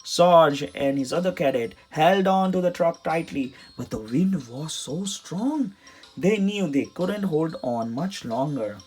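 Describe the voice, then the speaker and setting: A man's voice: a little high, lively intonation, unhurried, a little mellow, slightly rough. A man narrating a children's storybook as a read-aloud. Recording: music behind the voice.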